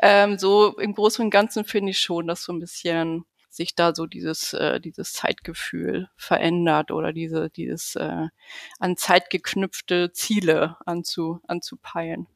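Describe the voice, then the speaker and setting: A woman's voice: fairly low pitch, little pitch movement, measured pace, gravelly, dry recording, studio quality. A woman in her forties, a podcast guest, answering questions thoughtfully with many fillers and frequent laughs. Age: 40s. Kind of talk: casual podcast interview.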